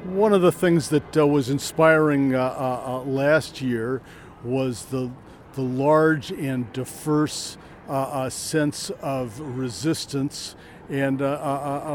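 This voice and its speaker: Male interviewee, mid-range voice, halting and wordy, faint outdoor noise. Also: mid-range voice, a little mellow. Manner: very hesitant, stammering over words. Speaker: march participant being interviewed. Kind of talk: spontaneous interview answer. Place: outdoors in a city.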